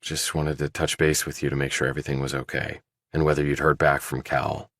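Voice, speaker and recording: A man as a deep-voiced, guarded ex-soldier. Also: little pitch movement, a little fast, creaky, a bit bright, dry recording, clean studio sound, full character voice. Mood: probing, polite. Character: guarded, probing male ex-soldier turned investigator. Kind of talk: audiobook character dialogue.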